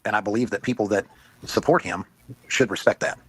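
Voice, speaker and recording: A man's voice: on the low side, fairly even intonation, fairly quick, a bit bright, slightly rough, no echo, low-quality recording. A man talking as a panelist in a casual online discussion.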